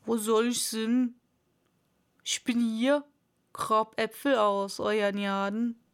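A woman's voice: a little high, little pitch movement, takes it slowly, smooth. A woman voicing a simple, servile but stubborn male animal servant in a storybook, deferential and plodding. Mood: dutiful.

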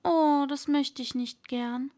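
A woman's voice: sits high, steady tone, slow pace, mellow. A woman voicing an earnest young storybook girl who muses aloud and scolds herself. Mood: wistful.

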